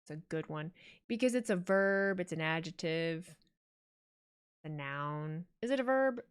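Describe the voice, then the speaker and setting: A woman's voice: deep voice, lively intonation, measured pace, smooth. A young woman, a streamer, chatting casually and jokingly while she reads her chat aloud during a watch-along.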